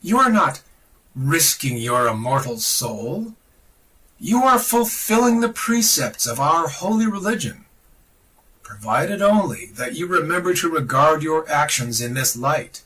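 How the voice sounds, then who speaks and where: Guy reading scripture like an orator, slow and forceful, sounds a bit far from the mic. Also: a little high, big pitch swings. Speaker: reader of a religious text on a podcast.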